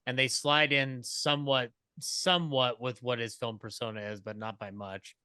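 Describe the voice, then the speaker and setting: A man talking casually as a panelist, halting and thinking aloud as he goes. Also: mid-range voice, fairly even intonation, sharp tone, smooth, no room sound, studio quality. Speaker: podcast panelist.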